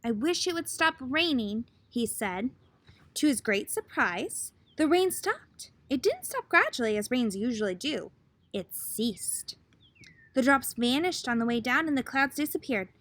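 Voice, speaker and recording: A woman reading a picture book aloud to children in an expressive, dramatic way with pauses. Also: on the high side. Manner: expressive, asks listeners questions, dramatic pauses. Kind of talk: children's story read-aloud.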